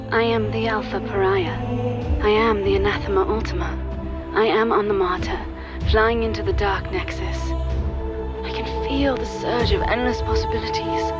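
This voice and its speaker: A woman's voice: medium pitch, lightly acted. An actress voicing a resolute, messianic female outcast in a portentous video game monologue. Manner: portentous, declamatory. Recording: loud music over the voice. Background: loud game soundtrack music.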